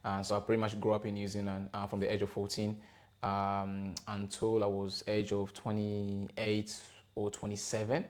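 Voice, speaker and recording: Hesitant low-voiced man in his thirties. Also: monotone, normal speed. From Democratic Republic of Congo. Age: 30s. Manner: hesitant, many fillers and restarts. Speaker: podcast guest, gospel rapper. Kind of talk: casual interview conversation.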